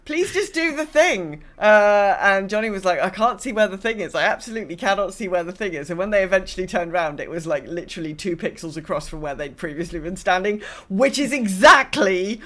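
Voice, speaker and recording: A woman with a mid-pitched voice chats casually as a podcast co-host. She is wry and self-deprecating, talking in an informal, conversational way about gaming. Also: expressive, fairly quick, hardly any pauses, fairly bright tone.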